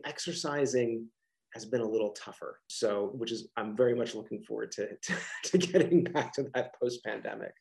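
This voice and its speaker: A man's voice: medium pitch, gravelly, darkish tone. A middle-aged man with a Philadelphia accent, a college professor answering interview questions casually on a video call, with many restarts and fillers.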